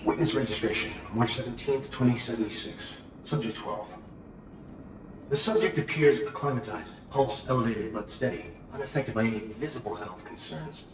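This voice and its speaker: An actor playing a detached researcher formally dictating an experiment log in a video game. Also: male voice, medium pitch, moderate pace, close to an everyday voice. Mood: clinical. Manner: clinical, formal. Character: clinical, detached male researcher logging an experiment. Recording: echoey, distant, noisy archive recording.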